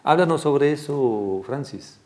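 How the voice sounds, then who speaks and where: A man's voice: on the high side, lively intonation, slow pace, darkish tone. A man hosting an interview program, speaking in a formal, wordy way with long introductions. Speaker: program host.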